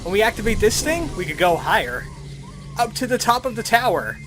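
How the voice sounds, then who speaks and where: Gaming host, animated and wry, mid-pitched, commenting on play over background music. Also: brisk, noisy background. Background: adventure game music.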